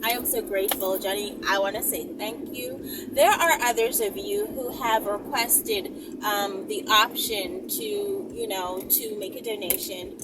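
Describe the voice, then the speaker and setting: A woman hosting her own video channel, chatting casually and emphatically to her viewers and often checking in with them. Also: high voice. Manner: animated, emphatic, repeatedly checking in with listeners. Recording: distant mic, loud ambient noise.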